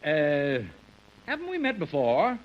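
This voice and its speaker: An actor playing a busy, absent-minded lawyer in an old radio comedy, brisk, distracted and self-important, on a noisy vintage recording. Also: male voice, on the high side, wildly swooping pitch, muffled recording, full character voice. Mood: flustered, distracted.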